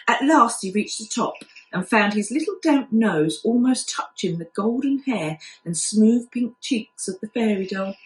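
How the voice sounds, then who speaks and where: A woman's voice: on the high side, animated, measured pace. A woman reading a children's story aloud like a primary school teacher, acting out the telling expressively. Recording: echoey room.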